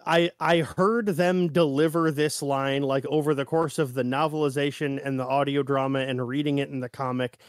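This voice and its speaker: A man's voice: medium pitch, steady tone, moderate pace, hardly any pauses, clean tone, no room sound, clean studio sound. A man co-hosting a podcast, talking casually and analytically, stressing his points. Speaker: podcast host. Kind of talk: casual analytical discussion chat.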